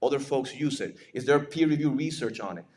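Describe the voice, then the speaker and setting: A man's voice: medium pitch, lively intonation, fairly quick, a little mellow. A man with a Puerto Rican accent, a native Spanish speaker, giving an informal lecture and often checking in with rhetorical questions. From Puerto Rico. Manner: conversational, asks rhetorical questions and tags points with "right?". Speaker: presenter, digital forensics examiner and instructor.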